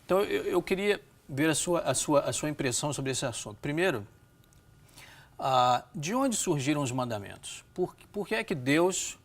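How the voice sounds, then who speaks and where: Measured Brazilian male programme host. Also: on the high side, big pitch swings, vocal fry. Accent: Brazilian Portuguese. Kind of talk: studio Bible discussion.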